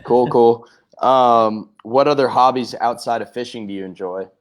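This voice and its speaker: A man's voice: mid-range voice, normal speed. A man with an American accent hosting a casual interview in a laid-back, affirming way. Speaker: interview host.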